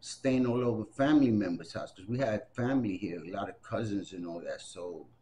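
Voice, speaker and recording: A man's voice: fairly low pitch, fairly quick, dark tone, a little hiss or hum. A man around sixty, born in Puerto Rico and raised in the Bronx, telling his story in an oral history interview with many fillers and restarts. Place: library room with bookshelves.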